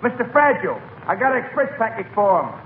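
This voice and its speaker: A man's voice: high-pitched, big pitch swings, fast talker, reverberant. An actor playing a befuddled, folksy delivery man in a 1940s radio comedy. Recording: tinny, hissy 1940s radio recording.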